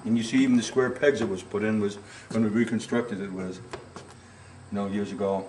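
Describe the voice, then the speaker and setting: A man's voice: lowish voice, steady tone, fairly quick, darkish tone, slight background noise, low-quality recording. An older man guiding a tour through an old wooden barn, explaining farm tools in a folksy, rambling way. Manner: folksy, rambling, full of "you know" and "okay?". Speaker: museum guide. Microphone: camera mic, handheld camera.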